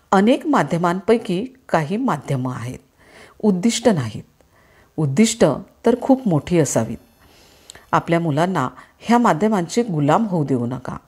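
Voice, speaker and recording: A woman narrating a moral story as an educational presenter, telling it expressively in short, clear phrases. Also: lowish voice, a little mellow.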